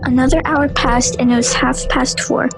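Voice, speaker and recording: A woman reading scripted story narration as a calm storyteller in an amateur audio drama. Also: sits high, moderate pace, gravelly, over music. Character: calm storyteller setting an uneasy suburban scene.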